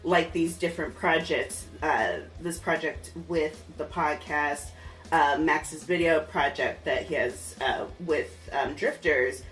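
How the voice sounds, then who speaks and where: A man's voice: a little high, slow, fairly bright tone. Roomy, distant-sounding man hosting casually. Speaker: podcast host interviewing a musician. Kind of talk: casual conversational interview. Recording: roomy, distant mic, faint music.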